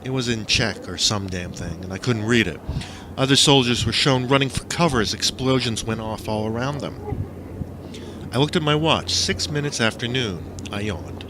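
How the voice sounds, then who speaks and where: A man's voice: mid-range voice, normal speed, background noise. A man reading his own stories as a dramatic first-person audiobook narration, with a theatrical manner, on a homemade recording.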